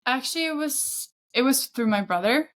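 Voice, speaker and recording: A woman's voice: sits high, expressive, normal speed, fairly bright tone. A woman in her thirties from Sweden with a Swedish accent, chatting casually as a guest in an interview. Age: 30s. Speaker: podcast guest, football agent.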